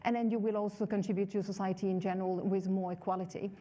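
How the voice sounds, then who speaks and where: A woman's voice: medium pitch, flat delivery, fast talker, mellow. A woman professor on a conference stage, explaining her views thoughtfully with examples. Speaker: management professor, panel guest. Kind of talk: stage conversation.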